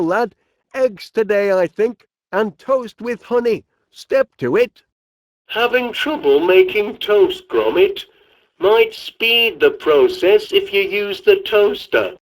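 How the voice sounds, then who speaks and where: Slow talking northern english inventor chap, genial and fussy, game voice lines, really dry close sound. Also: male voice, sits high, darkish tone, no echo. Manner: genial, quaint, politely fussy phrasing. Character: cheerful, fussy middle-aged English gentleman inventor. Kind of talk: video game character voice lines.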